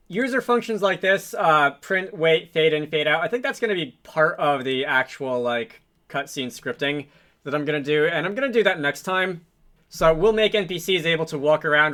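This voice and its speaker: Bright-voiced man thinking aloud, casual tutorial. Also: mid-range voice. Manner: informal, musing to himself. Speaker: host of a game development tutorial series.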